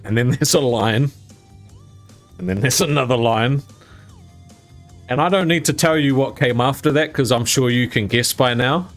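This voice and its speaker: A man's voice: mid-range voice, moderate pace, long pauses, faint music behind. Chatty young man telling a story. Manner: rambling with fillers, laughing as he tells it. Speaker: gaming YouTuber telling a personal story. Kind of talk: casual storytelling to camera.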